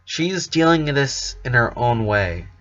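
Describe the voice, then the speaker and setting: A man's voice: medium pitch, sing-song, unhurried, fairly bright tone. Man reading as an earnest young boy, muffled. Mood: guarded, earnest. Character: earnest, guarded eleven-year-old little brother.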